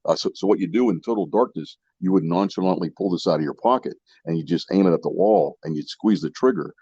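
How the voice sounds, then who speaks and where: Deep, husky man, mellow and muffled. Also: fast talker, no echo. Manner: repeats himself, checks in with listeners. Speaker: podcast co-host. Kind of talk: casual explanatory chat. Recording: muffled lo-fi audio, dry.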